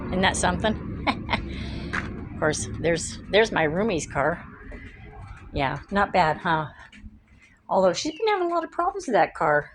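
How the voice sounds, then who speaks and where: A middle-aged woman, slightly raspy this morning, chatting casually and rambling into her phone as she walks from outside into her home. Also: on the low side, very animated, a little slow, long pauses, noisy background. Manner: rambling, easily distracted, laughs often. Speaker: vlogger. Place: outdoors then inside a home. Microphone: phone held by hand.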